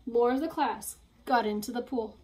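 A woman's voice: a little high, normal speed, darkish tone. A young adult woman reading a picture book aloud to children in a teacherly role. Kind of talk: storybook read-aloud. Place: small room at home, indoors.

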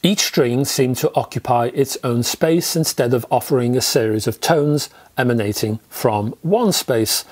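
A man's voice: medium pitch, measured pace, few pauses, breathy edge. A man in his fifties presenting a scripted product review to camera in an emphatic, conversational manner with rhetorical asides. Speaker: audio equipment reviewer. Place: small indoor room with record shelves.

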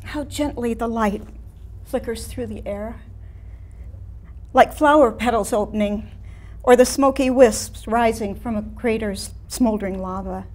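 Woman playing slow, wistful elderly woman artist. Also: a little high, a little mellow, close to an everyday voice. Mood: reverent. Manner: slow, deliberate, poetic delivery with pauses. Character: frail, wistful woman artist in her late seventies. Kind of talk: theatrical one-woman staged reading. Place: room of a house, seated before an audience.

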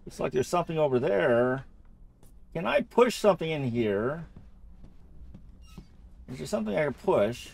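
Creaky-voiced man playing a video game. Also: fairly high pitch, sing-song, moderate pace, pauses often, a little mellow. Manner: thinks aloud, often repeating phrases. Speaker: gamer narrating own playthrough. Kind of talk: casual let's-play commentary.

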